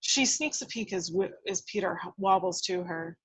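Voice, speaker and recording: A woman reading screenplay action lines aloud with dramatic, expressive delivery. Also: fairly low pitch, normal speed, breathy edge, no echo. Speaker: reader of action descriptions. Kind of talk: scripted narration, screenplay table read.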